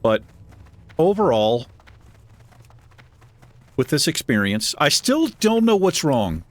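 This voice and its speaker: A man with a deep voice hosting a gaming channel, chatting casually and self-deprecatingly while he plays. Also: big pitch swings, takes it slowly, no room sound. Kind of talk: casual gameplay commentary. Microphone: desk microphone.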